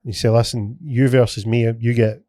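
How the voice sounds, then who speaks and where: A man's voice: mid-range voice, little pitch movement, moderate pace, warm tone, no room sound. Bantering Scottish man hosting a podcast. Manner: rambling, restarts often, swears, banters. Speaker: podcast host. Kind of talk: casual podcast chat.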